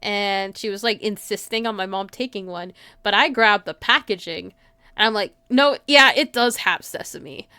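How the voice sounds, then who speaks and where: A woman's voice: mid-range voice, moderate pace, pushed and bright, clean tone, dry recording. A woman livestream host chatting casually with her viewers in an informal, giggly way, saying "like" often. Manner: giggly, informal, frequent filler "like".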